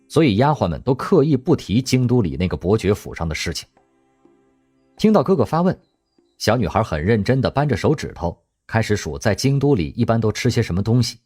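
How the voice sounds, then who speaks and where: A man narrating an audio drama, telling the story expressively in a husky voice. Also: fairly low pitch, a little mellow.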